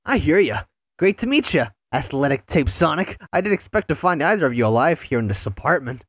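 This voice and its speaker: An eager young male hedgehog hero with a husky, bouncy voice that leaps up and down in pitch, played by a woman. The sound is dry and close, with no room around it. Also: sing-song, fairly quick, dry recording. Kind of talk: fan audio drama acting.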